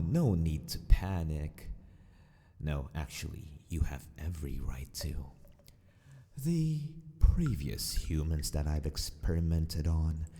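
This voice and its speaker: A man acts out a sadistic male mad scientist toying with a captive in an ASMR roleplay. His breathy, whispery delivery is slow and swings widely in pitch, very dark in tone. He stays mock-polite while sounding possessive and menacing. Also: full character voice. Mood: sinister, possessive.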